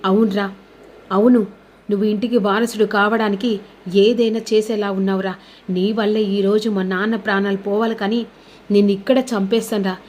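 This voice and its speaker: A woman's voice: medium pitch, level tone, moderate pace, a little mellow, lightly acted. A woman voicing a hot-headed young man in a serial recap, confrontational and full of repeated blame. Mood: angry, threatening. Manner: blaming, repetitive, confrontational.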